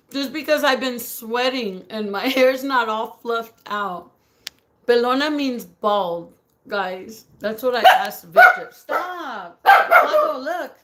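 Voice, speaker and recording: A woman with a raspy voice chatting casually on a live stream, greeting viewers by name, giggling often and slipping Spanish words into her talk. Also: high voice, fairly bright tone, a little hiss or hum. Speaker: livestream host reading viewer comments.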